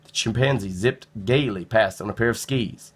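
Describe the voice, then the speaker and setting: A man narrating a children's storybook in an expressive read-aloud style. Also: lowish voice, normal speed, slightly rough.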